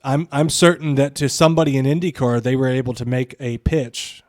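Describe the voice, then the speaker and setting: A man's voice: medium pitch, fairly even intonation, a little fast, no room sound, clean studio sound. A man co-hosting a podcast with casual, opinionated commentary, emphatic, repeating phrases for stress and swearing freely. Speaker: podcast co-host.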